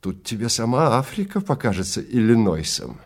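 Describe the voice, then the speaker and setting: A man voicing a nervous, awestruck big-game hunter in an audio story, hushed and uneasy. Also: mid-range voice, huge pitch swings, moderate pace. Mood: awed.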